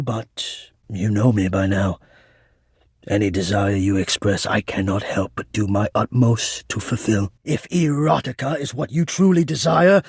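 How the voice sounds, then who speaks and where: Anxious romantic duct ghost man for a video game, raspy n creaky, poetic and dramatic, kinda halting. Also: mid-range voice, darkish tone, dry recording, studio quality. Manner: theatrical, florid, halting and earnest. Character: anxious, lovelorn, poetic male ductwork spirit hiding in attic. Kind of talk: video game character acting.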